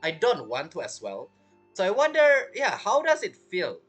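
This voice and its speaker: A man's voice: sits high, huge pitch swings, moderate pace, fairly bright tone, faint music behind. A man giving casual, rambling gameplay commentary as a streamer, reacting jokily. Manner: joking, rambling reactions to the game. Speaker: gaming streamer.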